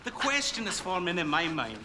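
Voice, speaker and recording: An actor playing a menacing Scottish gangster with a Scottish accent who makes jokey threats. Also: male voice, high-pitched, sing-song, a little fast. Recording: background noise.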